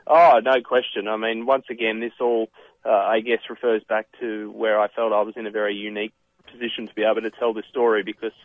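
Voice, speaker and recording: A man's voice: on the low side, little pitch movement, dry recording. Australian man on a tinny phone line. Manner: halting, many uh fillers and restarts. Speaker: professional golfer and author, guest. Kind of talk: radio interview answers.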